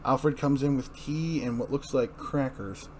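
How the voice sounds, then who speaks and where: A man's voice: mid-range voice, measured pace, darkish tone. Male narrator, mid-range, stumbles and self-corrects through a dramatic reading, noisy recording. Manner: stumbles over words and corrects himself. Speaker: comic-book review show host. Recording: clearly noisy room recording.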